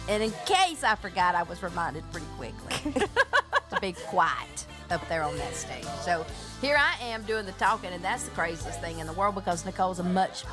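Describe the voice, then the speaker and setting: A woman in her forties hosting a radio morning show, chatting in a rambling, folksy way and laughing often. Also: lowish voice. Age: 40s. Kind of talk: casual radio interview.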